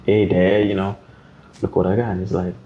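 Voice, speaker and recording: A man's voice: sits low, fairly quick, highs cut off, slight background noise. A man with a Jamaican accent, a guest artist telling stories in a podcast interview and acting out the voices of other people. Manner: animated storyteller, acts out voices of others.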